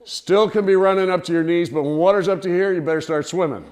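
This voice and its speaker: Higher-pitched man telling a story. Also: fast. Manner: animated, theatrical storytelling with acted-out cries and dialogue. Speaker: storyteller, speaker to an audience. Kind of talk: humorous personal anecdote told live.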